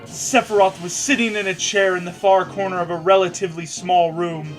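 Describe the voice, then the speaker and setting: A man's voice: high-pitched, fairly even intonation, brisk. A man reading a story aloud with mocking, jokey asides, stammering and stumbling over the text. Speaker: co-host reading fan fiction aloud. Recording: music playing behind the voice. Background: video game music.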